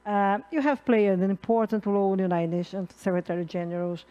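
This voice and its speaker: A middle-aged woman from Brazil speaks with a Brazilian accent, moderating a conference panel. Her English is halting, with many fillers and restarts. She talks into a handheld mic in a conference hall. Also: mid-range voice, fairly even intonation, mellow, smooth, muffled recording. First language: Portuguese.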